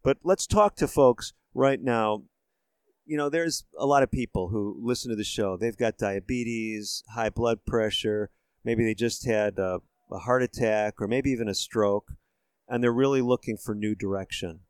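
A man's voice: fairly low pitch, moderate pace, clear, resonant tone, no echo. A man hosting a radio interview, asking questions and summarizing the guest's answers in a friendly, conversational way. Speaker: radio show host.

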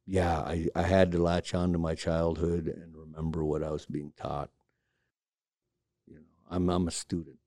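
A very low, gravelly man from Alaska talks slowly and thoughtfully, pausing often and picking his words with many fillers. His voice stays flat and mellow, recorded close and dry. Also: no room sound. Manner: reflective, halting with many fillers. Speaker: carver and studio owner. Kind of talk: documentary interview.

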